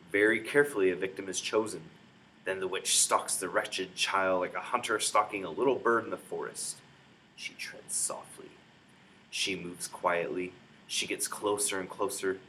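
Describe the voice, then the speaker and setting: Guy with a lowish voice doing a dramatic kids book read-aloud, noticeable background noise. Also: bright, forceful tone, low-quality recording. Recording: clear background noise, room ambience.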